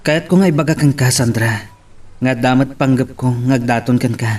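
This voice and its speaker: A man's voice: mid-range voice, normal speed, a little mellow, distant mic, close to an everyday voice. A man with an Ilocano accent acting an earnest, hesitant suitor in a romance audio drama. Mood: earnest, pleading. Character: earnest, hesitant young male suitor confessing love.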